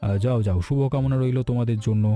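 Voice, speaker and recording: A man voicing a calm, businesslike village landlord and moneylender in a cartoon story, measured and authoritative. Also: mid-range voice, lightly acted. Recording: background music throughout.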